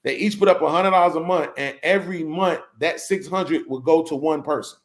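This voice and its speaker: Brief: man, slightly higher voice, relaxed panel host, loose and rambling, checks in with listeners often. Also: a little fast. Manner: rambling, keeps checking in with "right?". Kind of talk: casual panel discussion.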